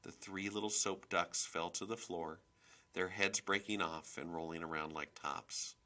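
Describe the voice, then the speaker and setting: A man reading a children's novel aloud as expressive scripted narration. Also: deep voice, measured pace. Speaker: storybook reader.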